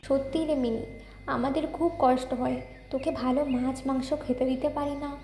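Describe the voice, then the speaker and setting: Cartoon village wife played by a woman: soft, caring, earnest, very high and mellow. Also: steady tone, a little fast. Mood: sad, resigned. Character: gentle, caring young village wife and mother, short of money. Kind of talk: Bengali cartoon fairy-tale acting. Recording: roomy echo, faint ambient noise.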